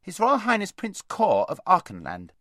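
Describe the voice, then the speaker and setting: A man voicing a formal male herald in a storybook reading, proclaiming loudly and ceremoniously. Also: sits high, huge pitch swings, normal speed, no echo, clean studio sound, voice pushed up, full character voice. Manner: loud, ceremonious. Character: formal male royal herald making announcements.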